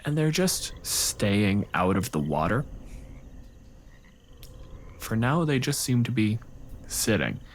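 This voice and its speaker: A man narrating a tabletop game as game master, speaking in measured, halting phrases. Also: fairly low pitch, big pitch swings, a little hiss or hum.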